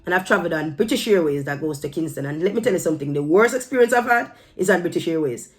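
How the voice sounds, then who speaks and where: A woman from Jamaica with a Jamaican accent, talking casually to her viewers in an emphatic, admonishing way and slipping into Patois phrases. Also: medium pitch. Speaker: vlogger giving her opinion. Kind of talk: casual opinion talk to camera.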